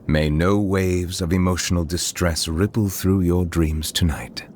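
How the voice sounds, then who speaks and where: A man playing a reserved, eloquent chief judge in a video game, speaking in a formal and measured way. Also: low-pitched, steady tone, a little mellow, slight background noise. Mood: courteous, gentle. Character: formal, reserved male chief judge, secretly a water dragon. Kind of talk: scripted game character voice lines. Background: music.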